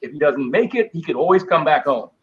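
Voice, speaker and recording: A middle-aged man tells stories and acts out the voices of the people in them. His voice sits slightly high. The sound is a little dull and muffled. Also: quick pace. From Bahamas. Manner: animated storyteller acting out quoted voices. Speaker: guest, former basketball player. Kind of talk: storytelling interview.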